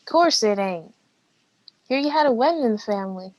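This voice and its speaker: A woman's voice: fairly high pitch, expressive, moderate pace, a little mellow, dry recording, natural voice. A woman with an American accent playing a dry, folksy small-town woman in an amateur play, on lo-fi video call audio. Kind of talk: amateur stage-play acting.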